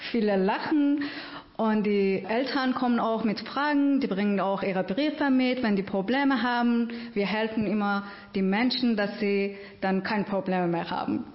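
A woman's voice: medium pitch, measured pace. A woman from Iran who works at an integration agency, answering interview questions in simple, earnest German with small grammar slips. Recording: roomy, dull lo-fi sound.

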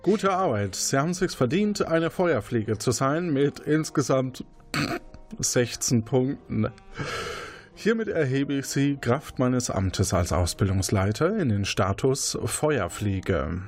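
A man's voice: mid-range voice, lively intonation, slow pace, faint music behind. A man running a detective role-play as game master, easygoing and encouraging.

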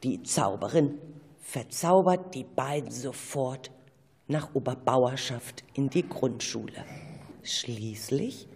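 Husky female narrator with long echo. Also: a bass voice, lively intonation, slow pace, darkish tone. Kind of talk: scripted storytelling for amateur radio play. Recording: echoey hall with long reverb.

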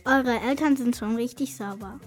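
A woman's voice: fairly high pitch, unhurried, soft background music. A woman presenting scripted tidying tips to children on the radio, addressing them directly.

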